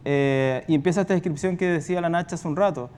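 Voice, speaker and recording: A man's voice: on the high side, fast talker, darkish tone, clear, resonant tone. A Chilean man speaking spontaneously on a panel as a radio and podcast producer, in a colloquial, rambling, anecdotal way.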